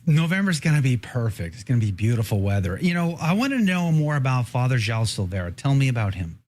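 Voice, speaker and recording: A man's voice: mid-range voice, sing-song, fast talker, darkish tone. A man hosting a casual interview, rambling and repeating words as he restarts. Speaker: show host.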